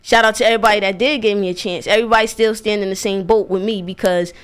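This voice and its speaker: A woman's voice: medium pitch, steady tone, fast talker, clean tone. A young American woman speaking casually and self-assuredly in a radio interview, stressing her points and repeating phrases. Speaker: radio DJ and show host.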